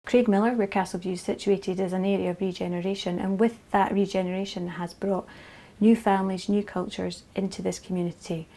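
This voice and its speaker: A woman's voice: medium pitch, fairly even intonation, brisk, few pauses, mellow. A woman from a school's staff reflecting thoughtfully on her pupils in an interview. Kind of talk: interview answers.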